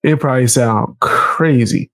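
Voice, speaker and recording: A man's voice: mid-range voice, a little slow, no echo. A congested man with a New York accent, commentating casually, talking through a stuffy nose and joking with his chat. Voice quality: nasal. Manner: animated, jokes with live chat. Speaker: commentary streamer.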